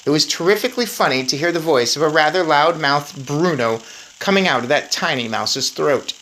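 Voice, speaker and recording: Low-voiced woman, very animated storyteller. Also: moderate pace, slight background noise, rough recording. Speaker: read-aloud storyteller. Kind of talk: expressive children's book reading with informal asides. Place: indoors in an attic.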